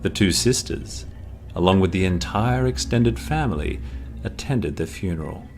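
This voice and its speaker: A man narrating a scripted horror story in a dramatic, suspenseful way, drawing out his pauses. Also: deep voice, lively intonation, a little mellow. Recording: music under the voice. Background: music.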